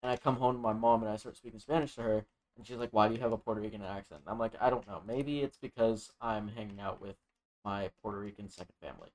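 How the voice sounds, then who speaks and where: A young man of Venezuelan family co-hosting a podcast, telling a story in a relaxed way with fillers. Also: fairly low pitch, fast, rough recording. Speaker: podcast co-host. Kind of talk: casual chat.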